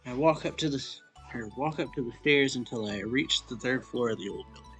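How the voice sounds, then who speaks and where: A man reading a visual novel aloud as a let's play host, in a halting way, stumbling and going back over lines. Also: mid-range voice, a bit bright, soft background music, rough recording.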